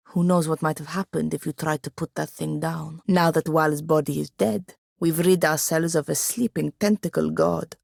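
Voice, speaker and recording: A woman's voice: fairly low pitch, vocal fry, no echo. A woman playing a proud, sardonic young woman wizard in a video game, speaking formally with dry wit. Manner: dry, wry, formal phrasing. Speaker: game companion character. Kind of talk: scripted video game dialogue.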